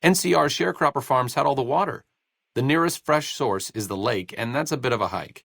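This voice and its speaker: An actor playing a sarcastic, idealistic wasteland doctor in a video game, with an American accent and a dry, articulate manner. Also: male voice, medium pitch, brisk, vocal fry, a bit bright, no room sound. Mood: dry, explanatory. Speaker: video game companion character. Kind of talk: video game voice acting, conversational dialogue.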